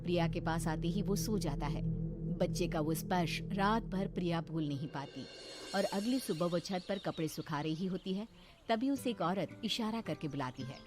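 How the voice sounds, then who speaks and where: A woman's voice: fairly low pitch, normal speed. A woman reading a scripted horror story as narrator for a cartoon. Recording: loud music behind the voice. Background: loud background music.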